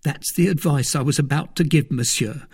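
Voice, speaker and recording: A man voicing a frightened, excitable young male servant who talks eagerly and breathlessly, with a husky voice. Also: on the high side, moderate pace, voice pushed up. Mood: anxious eager.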